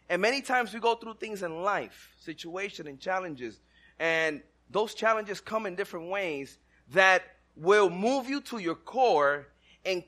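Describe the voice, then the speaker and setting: A man's voice: sits high, sharp tone. A man from the Dominican Republic with a Dominican-accented English, giving a casual, conversational sermon and asking the congregation questions. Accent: Dominican Spanish-accented English. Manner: conversational, asks the crowd questions, self-deprecating humor. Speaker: church speaker.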